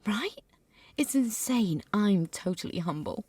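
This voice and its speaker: A woman's voice: mid-range voice, very animated, measured pace, a little mellow, slightly rough, no echo. A woman playing a mean, self-righteous schoolgirl bully in an audio drama, with a scornful, mocking manner. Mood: indignant.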